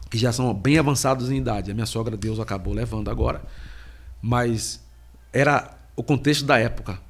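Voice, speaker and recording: A middle-aged man, a podcast host and preacher, giving a slow, halting personal faith testimony while he has a cold. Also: mid-range voice. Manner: slow, halting, heavy pauses.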